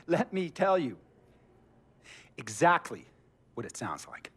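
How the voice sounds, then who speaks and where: An actor playing a wealthy, domineering basketball team owner in a video game cutscene, theatrical and emphatic. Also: male voice, a little high, very animated, fairly bright tone, a little hiss or hum, exaggerated voice. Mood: stern. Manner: theatrical, emphatic, drawn-out repetition.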